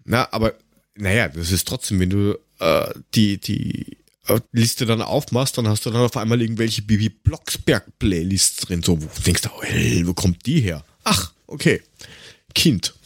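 A man's voice: fairly low pitch, normal speed, no echo, studio quality. An Austrian man chatting casually as a podcast co-host, rambling and grumbling in a sarcastic, coarse-mouthed way.

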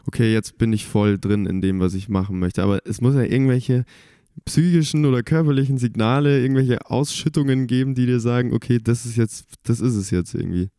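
A man's voice: medium pitch, fairly quick, warm tone, clear, resonant tone, no room sound, studio quality. A young man co-hosting a casual podcast, asking questions with frequent hesitations and filler sounds. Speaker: podcast co-host.

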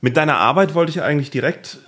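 A man's voice: a little high, fast, fairly bright tone. A man hosting a podcast in a casual, conversational style, joking and self-deprecating as he talks. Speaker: podcast host.

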